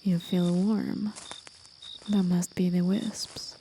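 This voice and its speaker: A woman voicing a gentle, aged, mysterious demon of the forest in an intimate, soothing, breathy half-whisper for an ASMR roleplay. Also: lowish voice, flat delivery, slow, slight background noise. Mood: soft, coy.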